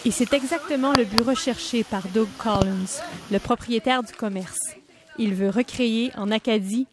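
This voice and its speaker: A woman reporter reading a scripted news report in a measured, even way. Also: a little high, crowd noise. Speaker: radio reporter.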